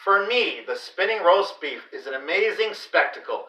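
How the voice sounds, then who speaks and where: A man reading a children's story aloud in an expressive, deliberate, dramatic way. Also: sits high, slight background noise. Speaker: read-aloud host for a school class. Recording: roomy echo, distant microphone.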